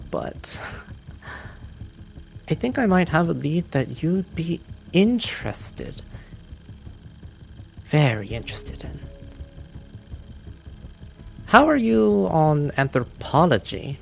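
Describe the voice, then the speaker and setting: A man voicing a shrewd, secretive shapeshifting woman informant in a tabletop game, sly and measured, hinting at deals. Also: fairly high pitch, big pitch swings, creaky, fairly bright tone, faint music behind, voice pushed up, full character voice. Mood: conspiratorial, intrigued.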